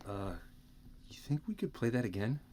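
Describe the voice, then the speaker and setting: A woman's voice: rumbling low, very animated, moderate pace, warm tone, slight background noise. A woman acting in an audio drama as a hesitant but clever tinkering friend, thoughtful in manner.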